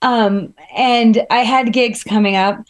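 A woman's voice: fairly high pitch, steady tone, slow. Slightly harsh-voiced woman, reflective. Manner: reflective, gives examples, many fillers. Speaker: guest speaker. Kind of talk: casual conversational advice.

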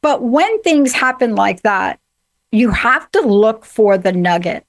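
A woman hosting a conversation, speaking briskly and asking her guest questions. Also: fairly high pitch, very animated. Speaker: podcast host. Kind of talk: casual interview chat.

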